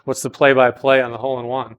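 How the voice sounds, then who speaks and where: A man in his late thirties chatting casually while playing golf outdoors, easygoing and quick to laugh. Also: mid-range voice, level tone, clear, resonant tone, highs cut off. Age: 30s. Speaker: golf stream host.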